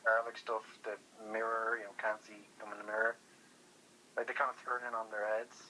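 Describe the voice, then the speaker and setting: A man's voice: on the low side, level tone, fairly quick, a crowd in the background. A man chatting casually and informally over the phone, thinking aloud as a guest. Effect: telephone call. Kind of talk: casual chat. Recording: faintly noisy phone line.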